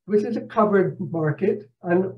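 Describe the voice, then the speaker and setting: An older man from Barbados giving a narrated travel slideshow over a video call, speaking in a measured, halting, explanatory way. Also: high-pitched. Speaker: presenter, retired professor. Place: indoor room at home, on a video call. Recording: dull video call audio.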